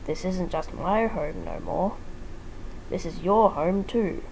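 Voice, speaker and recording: A hearty pirate fox fellow put on by a woman in a fan fiction reading. He talks like a sailor, modest and fond, in a mellow voice with slight noise behind. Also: fairly low pitch, slow pace, gravelly, low-quality recording. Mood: happy, modest. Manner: pirate talk, warm and modest. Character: hearty, affectionate male animatronic pirate fox.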